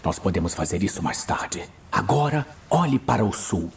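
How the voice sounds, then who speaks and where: Man playing a hushed, husky, earnest archmage. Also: low voice, very animated, heavily acted. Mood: grim. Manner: hushed, measured, pleading. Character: weary, earnest male archmage, urgent and restrained. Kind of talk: dramatic fantasy audio drama acting. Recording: music bed behind voice.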